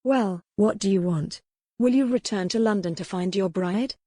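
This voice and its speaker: A woman's voice: medium pitch, moderate pace, mellow, no room sound, studio quality, natural voice. A woman voicing a proud, defiant captive noblewoman in a historical romance audiobook, speaking in a formal, pointed way. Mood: challenging.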